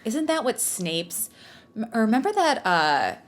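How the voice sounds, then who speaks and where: A woman's voice: on the high side, sing-song, a little slow. A woman podcast host who reads fan fiction aloud in a storytelling style, with casual, self-mocking joking asides. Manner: jokey, self-mocking, bantering.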